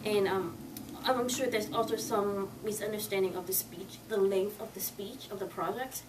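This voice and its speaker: A woman's voice: medium pitch, normal speed. A woman evaluating a club meeting, speaking hesitantly and repeating words as she goes. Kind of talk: giving meeting feedback. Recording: distant mic with noise.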